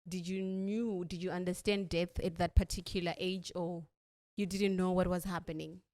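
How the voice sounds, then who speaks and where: A woman hosting an interview podcast, speaking deliberately in short phrases. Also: on the low side, flat delivery, dark tone. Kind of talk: interview with a scripted-sounding introduction.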